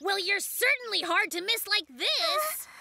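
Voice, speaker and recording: A voice actor playing an excitable little boy bear cub, a bossy and boastful inventor, in a children's cartoon. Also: extremely high pitch, measured pace, gravelly, exaggerated voice. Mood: exasperated. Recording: music bed under the voice.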